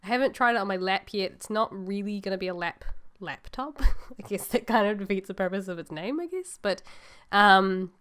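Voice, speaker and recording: A woman's voice: medium pitch, normal speed, fairly bright tone, smooth. Nasal New woman with a cold. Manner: chatty, rambling and upbeat. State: sore throat. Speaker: illustrator vlogger. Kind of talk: casual voice-over chat to viewers.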